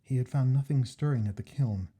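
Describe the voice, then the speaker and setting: A man reading a classic ghost story aloud as scripted narration, in a measured, expressive manner with deliberate phrasing. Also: medium pitch, slightly rough. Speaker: story reader.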